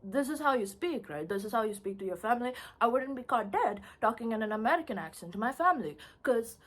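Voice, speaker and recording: Animated young woman from India chatting. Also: fairly high pitch, fast talker. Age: young adult. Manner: animated, chatty, laughs often, says "bro". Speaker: vlogger. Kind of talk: casual chat to camera.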